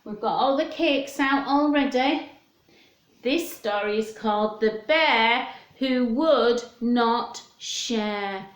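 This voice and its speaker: A woman's voice: fairly high pitch, slow. A woman hosting storytime for young children in a sing-song, questioning manner, chatting and reading aloud. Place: indoors at home. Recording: echoey home room.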